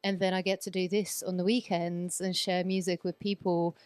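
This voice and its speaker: A woman in her thirties, born in Poland and raised in Sweden, chats freely in a podcast interview. Her voice is smooth and clean. She rambles with lots of fillers, and the sound is close and dry. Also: fairly low pitch, level tone, moderate pace, darkish tone, no echo. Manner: chatty, rambling, many fillers and restarts. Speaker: guest DJ.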